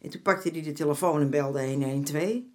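Older streetwise auntie type, played by an actress, low voice, blunt and motherly, radio play. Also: a little fast, darkish tone, lightly acted. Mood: familiar, at ease. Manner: familiar, blunt, calls men "jongen". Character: streetwise, motherly older woman of the red-light district, frank. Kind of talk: naturalistic Dutch radio drama acting.

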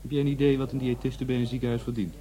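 An actor playing a defiant, aloof young left-wing student in a Netherlands Dutch radio play. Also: male voice, mid-range voice, little pitch movement, rapid-fire, mellow, natural voice, poor audio. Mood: insistent. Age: young adult.

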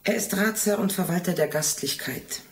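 An actress playing a stern, rule-bound archive gatekeeper in a radio play, formal and measured. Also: female voice, on the low side, lightly acted.

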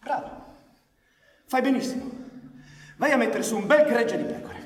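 Man acting cynical high-voiced outlaw, distant and echoey. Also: normal speed. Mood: bitter sarcasm. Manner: sarcastic, brash, scornful. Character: cynical, foul-mouthed male outlaw, bitter ex-anarchist. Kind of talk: live Italian stage acting. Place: theatre stage. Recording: echoey theatre, distant mic, faint music.

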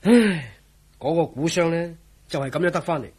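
A man playing a scoffing, jovial friend in a radio drama, teasing with drawn-out exclamations. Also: a little high, lively intonation, darkish tone, a little hiss or hum, close to an everyday voice. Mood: wry. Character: scoffing, jovial man, mocking a believer in legends. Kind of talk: Cantonese radio drama acting.

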